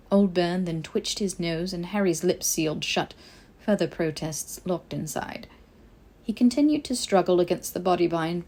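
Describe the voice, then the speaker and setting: A woman reading a story aloud as an expressive audiobook narrator. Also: fairly low pitch. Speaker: podfic narrator. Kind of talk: expressive scripted fiction narration.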